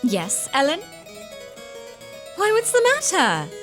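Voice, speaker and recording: A woman performs an imperious young gentlewoman in a literary radio drama. Her highish voice swings widely with feeling. Faint harpsichord music plays behind her haughty, high-strung delivery. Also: huge pitch swings, takes it slowly, heavily acted. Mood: startled, uneasy. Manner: emotional, haughty.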